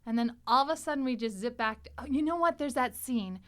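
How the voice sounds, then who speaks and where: Casting: female panel host, fairly high voice, chatty, animated storyteller. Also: fast talker. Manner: animated storyteller, acts out quoted lines. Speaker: podcast host retelling episodes. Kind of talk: casual panel chat, retelling scenes.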